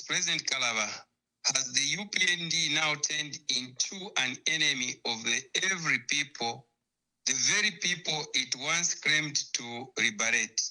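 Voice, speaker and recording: Zambian guy caller, super sharp forceful voice, formal and emphatic, rhetorical politics questions. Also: mid-range voice, poor audio. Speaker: phone-in caller.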